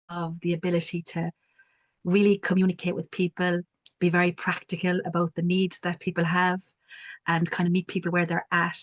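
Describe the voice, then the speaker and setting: A woman from Cork with a strong Cork Irish accent, telling reflective stories on a video call panel. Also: lowish voice. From Cork, Ireland. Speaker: community development worker. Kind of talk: online panel talk.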